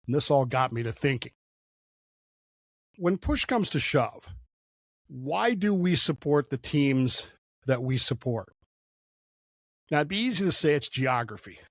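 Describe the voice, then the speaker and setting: A man's voice: medium pitch, sing-song, measured pace, pauses often, no room sound. Man musing aloud in a dry room. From Oklahoma, raised in Denver. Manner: conversational, digressive, frequent fillers. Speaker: show host. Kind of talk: solo opinion monologue.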